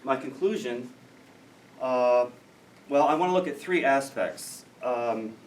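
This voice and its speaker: A man with an American accent gives a scholarly lecture in a measured, explanatory way, with a dry edge. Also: medium pitch, lively intonation. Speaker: academic lecturer in biblical studies. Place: lecture room. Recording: roomy, distant mic with faint noise.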